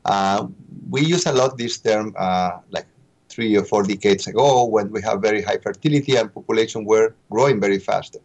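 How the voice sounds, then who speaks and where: Guy, lowish voice, expert being interviewed, explains carefully and a bit haltingly. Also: darkish tone, dull audio. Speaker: interview guest, expert official.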